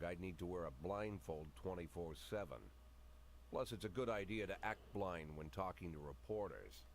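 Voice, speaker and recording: Deep-voiced, world-weary police chief. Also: male voice, creaky, a little hiss or hum, exaggerated voice. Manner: brooding, confessional, measured. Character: world-weary, cynical male police chief, haunted and bitter. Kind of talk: dramatic noir game narration.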